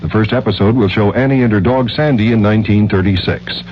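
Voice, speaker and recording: A man's voice: fairly low pitch, a little fast. An American man reading a scripted 1970s radio news bulletin. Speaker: radio newsreader. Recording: muffled vintage radio, faint noise.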